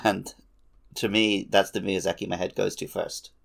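A man with a gravelly voice chatting casually as a podcast co-host. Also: deep voice, flat delivery, moderate pace, a bit bright.

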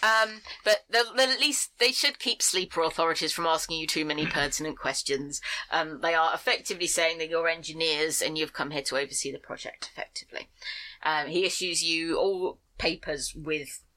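A woman's voice: deep voice, moderate pace, pushed and bright, rough recording. A woman running a tabletop role-playing game as game master, talking in a rambling way with many ums.

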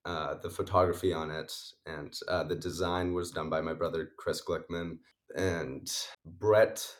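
A man's voice: deep voice, steady tone, measured pace. A young man, a musician guest, answering interview questions casually, sniffly and rambling with many fillers. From Canada. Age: young adult. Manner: rambling, many likes and uhs, laughs often.

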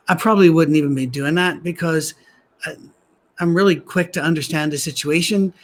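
Soft-voiced man preaching, slightly high. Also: brisk. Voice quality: soft, gentle voice. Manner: conversational with dry humorous asides. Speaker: pastor. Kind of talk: sermon. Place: church gathering on a soundstage.

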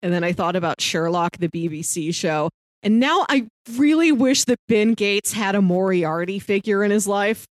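A woman's voice: medium pitch, lively intonation, normal speed, no room sound, clean studio sound. A woman co-hosting a casual movie chat, talking like an enthusiastic fan with wry, playful asides. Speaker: podcast co-host.

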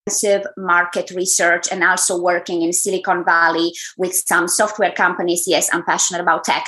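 A woman from Romania with a Romanian accent, answering as a podcast guest in an effusive, measured way. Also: lowish voice, level tone, sharp tone. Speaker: guest, brand strategist. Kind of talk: interview answers.

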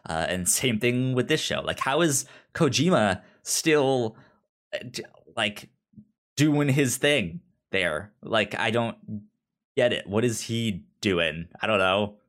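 A man talks as a podcast co-host in an unhurried, rambling way. His pitch moves around a lot, and he often doubles back on words and phrases in loose, casual chat. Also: expressive, a bit bright. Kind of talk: casual pop-culture chat.

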